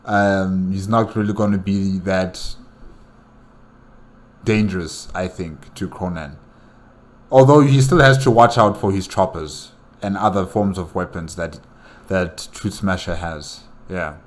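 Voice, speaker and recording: A man giving casual, unscripted reaction commentary as host, speaking haltingly and often repeating himself. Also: low-pitched.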